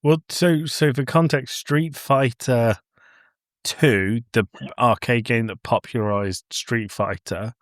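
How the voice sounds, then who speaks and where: A man's voice: mid-range voice, fairly even intonation, slow pace, dry recording, clean studio sound. A man in his thirties chatting casually as a podcast co-host. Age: 30s. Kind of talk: casual chat.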